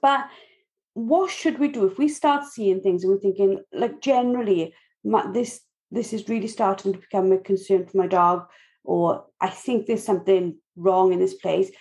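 A Welsh woman hosting a podcast, asking relaxed, chatty questions with a few fillers. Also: medium pitch, normal speed. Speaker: podcast host. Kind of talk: casual podcast interview.

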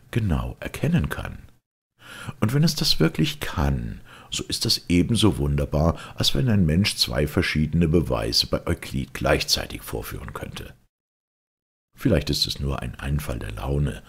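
A man narrates a classic audiobook in an extremely low voice. It is husky and rough, with a heavy rasp. His delivery is measured and expressive, as a storyteller reading literary prose.

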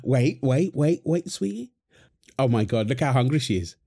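A man's voice: medium pitch, big pitch swings, moderate pace, gravelly, dark tone, husky, full character voice. A man playing a young father feeding his baby daughter, playful and affectionate, laughing often. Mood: doting. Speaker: voice actor in audio roleplay. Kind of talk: wholesome audio roleplay.

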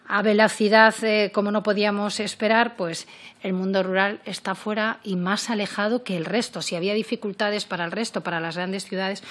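A middle-aged woman with a central Spanish accent giving a formal political speech at a committee desk microphone, earnest and somewhat halting as she works from notes. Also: mid-range voice, a bit bright. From Castilla-La Mancha, Spain. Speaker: member of parliament. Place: parliamentary committee room. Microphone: gooseneck desk microphone.

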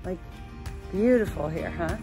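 A woman's voice: fairly low pitch, measured pace, over music. A woman in her forties chatting upbeat with fillers while filming herself on a phone outdoors on a sunny farm. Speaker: vlog host. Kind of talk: casual travel vlog. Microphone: phone at arm's length.

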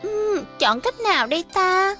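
A woman's voice: very high-pitched, expressive, measured pace, over loud music. A woman voicing an earnest, imaginative small child in a cartoon dub, with a southern Vietnamese accent. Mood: pondering.

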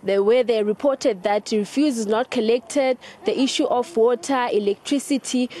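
A young woman with a South African accent reports live from outdoors. Her voice is fairly high and her delivery is halting and hesitant, spoken close into a handheld microphone. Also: darkish tone, slight background noise. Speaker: field news reporter. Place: outdoors in open grassland.

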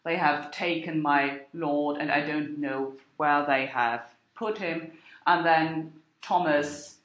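Slow, halting woman lecturer, echoey room. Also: low voice, fairly bright tone. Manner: halting, thinking aloud, explanatory. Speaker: university lecturer. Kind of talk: academic lecture with slides. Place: indoor room with echo.

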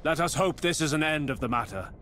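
An actor playing a stern older high admiral in a sci-fi game, speaking in a formal, resolute, grandiose way. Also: male voice, expressive, fast talker, fairly bright tone, slight background noise. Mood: grave, measured. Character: commanding stern older male high admiral of a space fleet. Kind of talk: dramatic video game voice acting.

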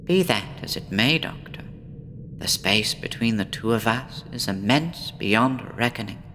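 Guy doing a gloomy young woman patient, slow and brooding, echoey horror radio play. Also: lowish voice, animated, pushed and bright, slight background noise, heavily acted. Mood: defiant. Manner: slow, brooding, poetic and weary. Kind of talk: horror audio drama acting.

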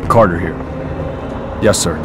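An actor playing a steady male squad commander in a video game, curt and authoritative. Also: sits low, measured pace. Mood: controlled, serious. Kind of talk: video game cutscene acting. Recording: loud music behind the voice.

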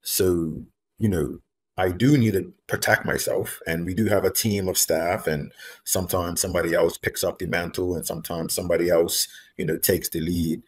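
Man, 30s, from Bermuda, very low voice, earnest, measured, video call from home. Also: fairly even intonation. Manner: earnest, measured, repeats key phrases for emphasis. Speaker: guest, homeless advocate. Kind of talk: reflective interview talk. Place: room at home. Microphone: video call, laptop camera.

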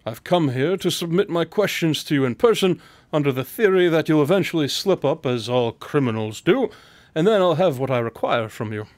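A man's voice: expressive, a little fast, no room sound, lightly acted. A man playing a shrewd, exacting detective with a dry, precise, formal manner in a radio drama. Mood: probing. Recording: clear background noise, low rumble.

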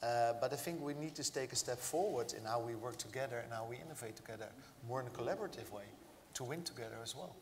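A man's voice: medium pitch, fast. A man from the Netherlands speaking on a conference panel, hesitant with many fillers. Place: large event hall stage. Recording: reverberant hall, faint noise.

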